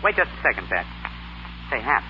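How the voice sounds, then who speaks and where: An actor playing a genial, well-to-do gentleman who backs boxers, speaking politely and briskly in a 1930s radio comedy. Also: medium pitch, sing-song, close to an everyday voice. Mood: businesslike. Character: genial well-to-do gentleman, eager boxing backer. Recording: muffled, noisy 1930s radio broadcast recording.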